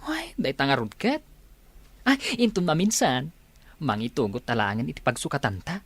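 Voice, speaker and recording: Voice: mid-range voice, sing-song, normal speed, a bit bright, breathy edge, dry recording, exaggerated voice. An actor playing an easygoing male buddy and workmate in a radio drama.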